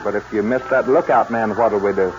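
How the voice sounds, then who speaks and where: An actor playing a cautious but game American cowboy sidekick, folksy and exclaiming, in a 1940s radio western. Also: male voice, lowish voice, a little fast, faint music behind, close to an everyday voice. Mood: nervous, game. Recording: noisy, muffled 1940s radio recording.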